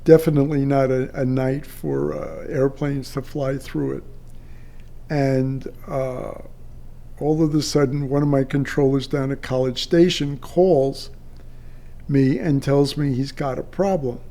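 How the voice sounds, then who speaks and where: Slow-paced older man recounting a work memory. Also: medium pitch, steady tone, creaky, mellow. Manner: halting, with drawn-out pauses. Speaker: retired air traffic controller. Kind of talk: unscripted personal storytelling.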